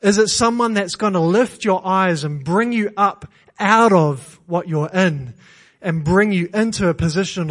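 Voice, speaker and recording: A man from New Zealand with a New Zealand accent, preaching a sermon in church with earnest emphasis, repeated phrases and self-deprecating humor. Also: sits high.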